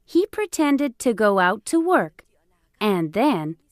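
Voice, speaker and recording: A woman narrating a scripted children's fairytale as an expressive storyteller. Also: on the high side, slow pace, no echo, clean studio sound. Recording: music bed behind.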